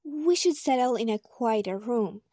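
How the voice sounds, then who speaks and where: An actress playing a composed, thoughtful advisor in an audio drama, measured and matter-of-fact. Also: female voice, on the high side, lively intonation, warm tone, dry recording, studio quality. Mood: composed, advising.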